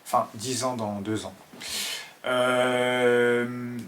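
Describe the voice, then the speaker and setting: A man in his twenties from Ivory Coast with an Ivorian French accent, talking to camera in an earnest, halting way full of fillers. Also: mid-range voice, flat delivery, fairly bright tone, a little hiss or hum. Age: 20s. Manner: hesitant, many fillers and restarts, earnest. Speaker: vlogger. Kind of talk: casual talk to camera.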